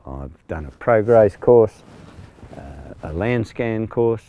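Deep-voiced older Australian farmer. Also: male voice, expressive, takes it slowly, phone-quality sound. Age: 60s. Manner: plain-spoken, hesitant with many fillers. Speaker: farm manager. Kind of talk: on-location interview. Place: outdoors on a rural farm paddock.

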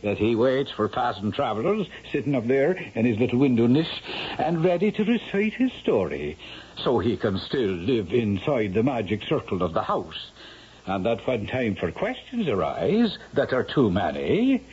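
An actor in a 1970s radio drama playing a lively old Irish storyteller with a theatrical, swooping delivery. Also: male voice, medium pitch, normal speed, husky. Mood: sly, animated. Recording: muffled vintage radio broadcast with music.

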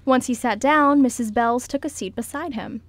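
A woman's voice: sits high, normal speed, no room sound. A woman reading scripted narration for a radio play as its narrator.

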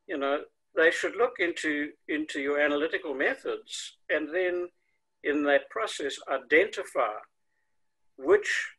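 Older south african guy explaining stuff on a video call, bright sharp voice, kinda high. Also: low-quality recording. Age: older, 60s or 70s. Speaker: consultant and coach, interview guest. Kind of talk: conversational explaining in an online interview. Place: home room with windows, indoors. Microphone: earbuds on a video call.

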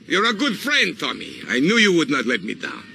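An actor playing a suave middle-aged colonel with a Latin American accent, florid, theatrical and courteous, in a video game. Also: male voice, fairly high pitch, wildly swooping pitch, brisk, creaky, bright, forceful tone, a little hiss or hum, full character voice. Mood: businesslike, courtly. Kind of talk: video game cutscene acting.

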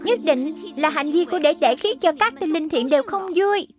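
A woman's voice: high voice, moderate pace, music in the background, natural voice. A woman voicing a small, childlike lion spirit in a children's cartoon dub, explaining things earnestly and patiently like a teacher. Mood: earnest reproach. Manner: didactic, explanatory.

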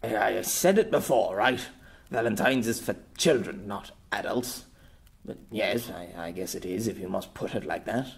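A proper old former knight, formal and a little flustered, stumbling over his words when embarrassed, as a male narrator plays him. His voice moves lively through its range in a storybook reading. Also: fairly low pitch, normal speed, creaky. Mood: halting.

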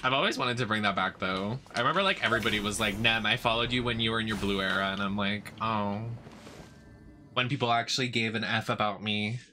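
A man's voice: lowish voice, fast talker, sharp tone, slight background noise. A young man chatting casually on a game livestream with a campy, dramatic delivery. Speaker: drag performer and game streamer. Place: indoor streaming setup.

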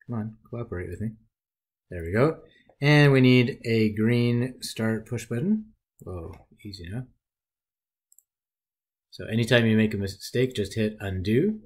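A man with a fairly low voice narrates a software tutorial over a screen recording. He talks in a relaxed, folksy way, describing each click and drag as he goes. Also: unhurried, pauses often.

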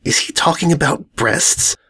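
A man's voice: a little high, a little slow, pushed and bright, breathy edge, voice pushed up, heavily acted. A man voicing a stern, haughty pony princess who speaks formally and with sarcasm in a storybook reading. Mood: sarcastic.

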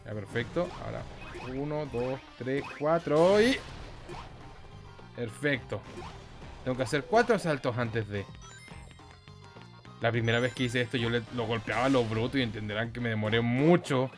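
A young man giving casual, excitable, foul-mouthed commentary as host of a gameplay video. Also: medium pitch, normal speed, long pauses. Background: game music.

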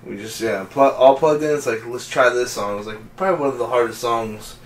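A man's voice: medium pitch, slight background noise. A man telling friends about joining a band, in casual chat full of restarts. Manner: excited, halting restarts. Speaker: guest musician. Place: small home den, indoors. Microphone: far camera mic. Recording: echoey room from far off.

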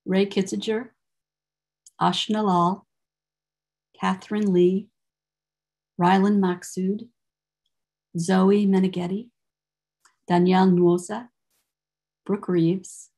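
An older woman delivering a formal, prepared commencement address in measured, deliberate phrases, speaking as the presiding academic on a video call. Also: fairly low pitch, darkish tone, breathy edge. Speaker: university program director presiding over a ceremony.

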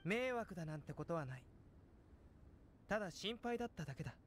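A man voicing a calm, gentle, scholarly young alchemist in an anime game, composed and measured. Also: high-pitched, a little hiss or hum. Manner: composed, measured, softly probing.

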